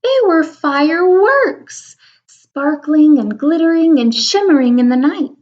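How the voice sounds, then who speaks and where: A woman reading a children's picture book aloud, with expressive, wondering asides as if talking to young children. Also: high-pitched, a little slow. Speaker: read-aloud storyteller. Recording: dull lo-fi recording.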